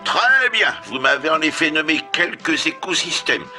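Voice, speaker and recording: Earnest owl schoolmaster, lively intonation. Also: male voice, a little high, moderate pace, soft background music, exaggerated voice. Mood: didactic. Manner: hesitant, professorial, formal. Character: earnest, modest old male owl schoolmaster. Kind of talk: cartoon dub, lecturing.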